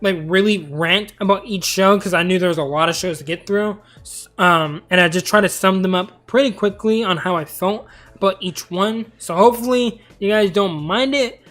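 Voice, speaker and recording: A man's voice: high-pitched, moderate pace, fairly bright tone, faint music behind. A young man streaming and chatting casually about cartoons in a rambling, talkative way. He is tired and losing his voice. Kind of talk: casual live-stream commentary.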